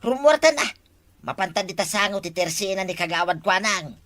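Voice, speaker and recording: A woman's voice: medium pitch, animated, brisk, fairly bright tone, lightly acted. A woman with an Ilocano accent playing a scheming village gambler in a comedy drama.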